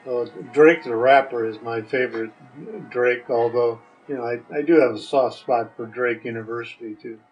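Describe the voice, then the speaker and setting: An older man giving casual answers as a guest, speaking in a hesitant, measured way. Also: mid-range voice, steady tone. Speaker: guest, the hosts' father. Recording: dull lo-fi sound, faint music.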